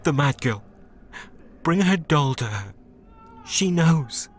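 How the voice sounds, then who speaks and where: A man playing a desperate asylum patient in a video game, craving a drug, begging and wheedling in a halting way. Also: fairly high pitch, expressive.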